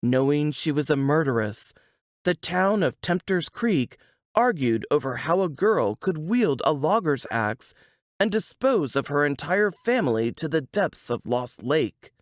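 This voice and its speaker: A woman reading a suspense novel aloud in a dramatic, deliberate storytelling manner. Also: sits low, big pitch swings, no echo, studio quality. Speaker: author reading from their novel.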